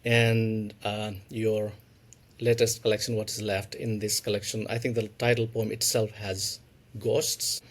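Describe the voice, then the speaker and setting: A man hosting a podcast, asking a writer questions in an engaged way, often pausing on "uh" fillers. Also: lowish voice, flat delivery. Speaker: podcast host. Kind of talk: interview questions, reading a poem aloud.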